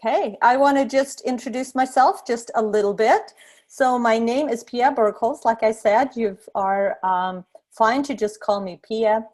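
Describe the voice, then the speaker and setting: A woman's voice: on the high side, a little slow. Woman instructor, 30s, from Sweden; casual online lecture, computer mic, easygoing with lots of fillers. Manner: relaxed, many fillers and restarts.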